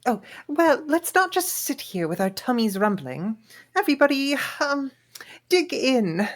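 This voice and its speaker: Gracious, slow, high-voiced queen played by a woman. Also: very animated, heavily acted. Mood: placating. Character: polite, anxious, conciliatory queen and mother. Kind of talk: comedic table read of animated film script.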